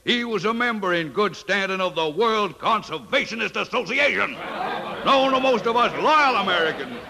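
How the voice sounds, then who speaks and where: An actor playing a pompous, sly older senator, orating in florid, grandiose phrases in a 1950s radio drama. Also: male voice, sits high, lively intonation, vocal fry, crowd noise. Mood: accusing. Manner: slow, florid, grandiose speechifying. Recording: long echo of a large hall.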